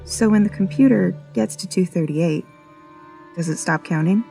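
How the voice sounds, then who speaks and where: A woman acting a serious, sharp-minded scientist in an audio drama. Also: fairly low pitch, normal speed, vocal fry, faint music behind. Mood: probing.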